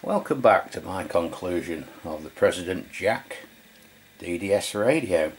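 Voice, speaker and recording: A middle-aged Englishman with a low-pitched voice talks through a piece of equipment. He is informal and matter-of-fact, in the casual style of a hands-on video review. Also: lively intonation, a little slow, slight background noise. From England. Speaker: radio review channel host.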